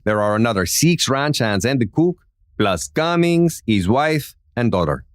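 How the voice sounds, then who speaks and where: A man's voice: medium pitch, sing-song, measured pace, no room sound, studio quality. A man playing a coolly obedient Mexican outlaw informant in a Western radio drama. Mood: matter-of-fact.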